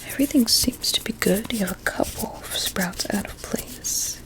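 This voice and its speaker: A woman playing a vain, sinister blueberry doctor in an ASMR medical roleplay, speaking in a soft breathy whisper with soothing menace. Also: mid-range voice, moderate pace, close to an everyday voice, rough recording. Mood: smugly pleased.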